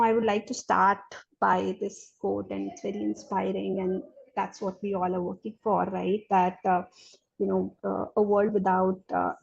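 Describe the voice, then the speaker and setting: Husky, gravelly woman presenting on a call. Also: medium pitch. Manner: hesitant, many fillers. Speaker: incubator speaker. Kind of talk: webinar presentation. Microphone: video call. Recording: dull lo-fi call audio.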